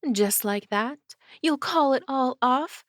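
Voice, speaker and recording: A woman's voice: sits high, dry recording, clean studio sound. A woman playing a sly, menacing female vampire villain who teases and mocks in an ASMR audio drama. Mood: sly, amused. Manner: teasing, sly, drawn-out delivery.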